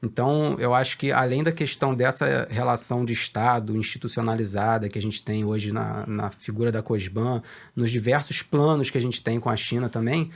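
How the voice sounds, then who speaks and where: A man from Brazil with a gravelly, creaky voice at a middle pitch. He answers questions in a business talk, measured and hesitant, pausing on fillers as he builds his points. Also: steady tone. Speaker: guest, research director. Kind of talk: conversational business interview.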